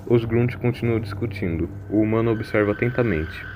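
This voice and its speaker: A man's voice: fairly low pitch, a little fast, background noise. A man narrating a scripted science-fiction audio drama as its storyteller.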